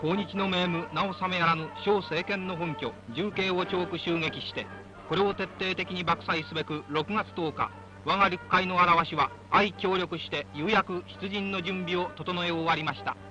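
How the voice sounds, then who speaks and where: High-pitched man, vintage wartime newsreel narration. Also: steady tone, normal speed, muffled recording. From Japan. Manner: declamatory, formal, rousing. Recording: old band-limited 1940s newsreel soundtrack, music behind. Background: loud background music.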